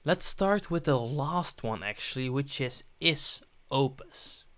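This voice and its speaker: A man's voice: medium pitch, animated, slow pace, a bit bright. A man presenting a programming tutorial, narrating his steps on screen as he goes.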